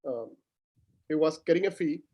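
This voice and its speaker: A man's voice: on the high side, expressive, moderate pace, warm tone. A man from Cuba, a native Spanish speaker with a Cuban accent, talking as an academic guest in a scholarly conversation, often searching for words.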